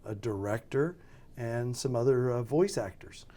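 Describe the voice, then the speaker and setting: An American man being interviewed as a radio drama producer, talking casually and haltingly as he thinks aloud. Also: medium pitch, lively intonation, warm tone.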